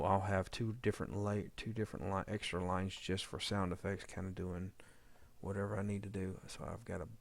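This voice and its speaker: Low, gravelly man thinking aloud. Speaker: audio drama editor giving a demo. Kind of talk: casual unscripted screen-recorded tutorial.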